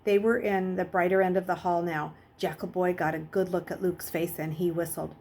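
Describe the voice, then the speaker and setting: A woman reading a children's novel aloud as an expressive storyteller. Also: fairly low pitch, moderate pace, heavy background noise. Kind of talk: expressive audiobook-style narration.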